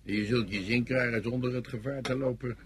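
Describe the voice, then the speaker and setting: An actor playing a sardonic, needling front-line soldier in a Dutch radio drama, dry and sarcastic. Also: male voice, mid-range voice, fairly even intonation, brisk, noisy background, close to an everyday voice.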